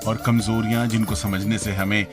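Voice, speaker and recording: A man's voice: on the low side, level tone, moderate pace, music in the background. A man co-hosting a radio show, presenting scripted links and bantering with his partner.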